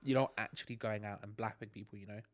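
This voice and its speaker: A man in his twenties co-hosting a casual podcast, stuffy with a cold and speaking in a low-key mumble. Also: fairly even intonation, fast talker. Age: 20s. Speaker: podcast co-host. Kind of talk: casual chat.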